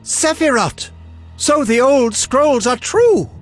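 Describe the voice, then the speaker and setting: An actor playing an eccentric, self-important alchemist in a video game, obsessive and theatrical, with fussy delivery. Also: male voice, very high voice, sing-song, takes it slowly, soft background music, heavily acted. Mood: excited, grandiose.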